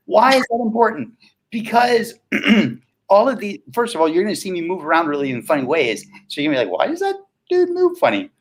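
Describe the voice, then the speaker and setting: Man in his fifties, motivational guest. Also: high voice. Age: 50s. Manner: asks and answers his own questions. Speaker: guest, transformational coach and speaker. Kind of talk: casual motivational interview talk.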